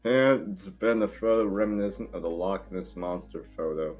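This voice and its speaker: A man voicing a gruff, obsessive old boat captain with a blustering, emphatic way of talking in an amateur cartoon table read. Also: sits low, steady tone, moderate pace, a little mellow, muffled recording, faint music behind. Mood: boastful.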